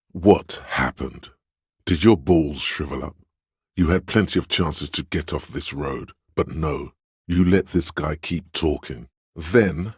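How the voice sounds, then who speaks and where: A very deep, imperious authority. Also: male voice, slightly rough, no echo, clean studio sound, full character voice. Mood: scornful. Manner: commanding, imperious, pompous. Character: stern, domineering inner voice of authority in a detective's mind. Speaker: video game voice actor. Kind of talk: dramatic game narration, measured and weighty.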